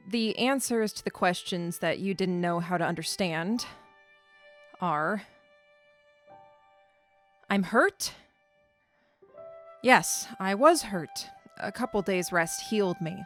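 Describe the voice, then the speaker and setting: A woman playing a stranded alien creature in an audio drama, stoic and reflective, with a deliberate, solemn way of telling things. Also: mid-range voice, fairly bright tone, soft background music. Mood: grieving, resigned.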